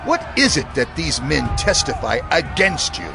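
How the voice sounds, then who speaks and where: An actor playing an outraged, imperious high priest in a scripture audio drama, declaiming accusingly. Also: male voice, wildly swooping pitch, moderate pace, vocal fry, exaggerated voice. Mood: stern, demanding. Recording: dramatic music and crowd behind.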